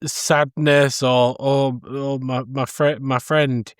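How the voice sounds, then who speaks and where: A man's voice: medium pitch, level tone, slow, clear, resonant tone, no room sound, studio quality. A man co-hosting a podcast, chatting casually about film. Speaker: podcast host.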